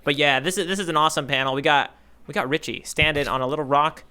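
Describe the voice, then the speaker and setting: A man's voice: a little high, quick pace, sharp tone, clean tone. A man co-hosting a podcast, bantering playfully and joking around in casual chat. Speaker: podcast co-host.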